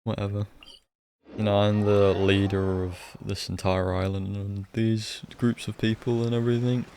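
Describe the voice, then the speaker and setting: A man's voice: on the low side, level tone, moderate pace, darkish tone, a little hiss or hum. A man giving casual live game commentary as a streamer, offhand and sardonic, swearing now and then.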